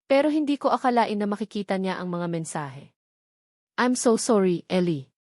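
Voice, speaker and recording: A woman's voice: medium pitch, lively intonation, normal speed, darkish tone, no echo, studio quality, lightly acted. A woman voicing a desperate, lovesick woman in a romance story, pleading and apologetic. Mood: remorseful.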